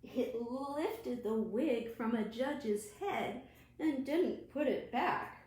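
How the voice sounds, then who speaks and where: A woman children's librarian reading aloud to young children in a deliberate, expressive, sing-song way. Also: sits high. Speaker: children's librarian hosting storytime. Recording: roomy, echoing room sound.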